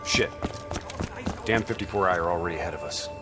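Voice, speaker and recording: An actor playing an irritable, hard-bitten special forces commander in a video game, gruff and curt. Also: male voice, sits low, brisk, music in the background, close to an everyday voice. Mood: irritated. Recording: noisy game ambience behind the voice.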